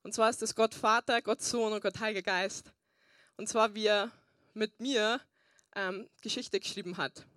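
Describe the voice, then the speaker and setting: A woman's voice: medium pitch, fairly even intonation, normal speed, fairly bright tone, no room sound, studio quality. A young woman pastor preaching a sermon and telling her personal testimony, earnest with frequent fillers. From Bavaria, Germany.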